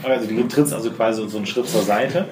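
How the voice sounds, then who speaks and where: Low-voiced man game-mastering in a roomy space. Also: fast, crowd noise. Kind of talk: narrating a tabletop role-playing game to players. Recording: roomy echo, music underneath.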